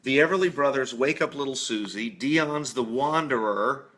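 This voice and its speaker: A man's voice: medium pitch, normal speed, a bit bright. A man, a musician guest on a radio show, chatting casually and giving his opinions informally.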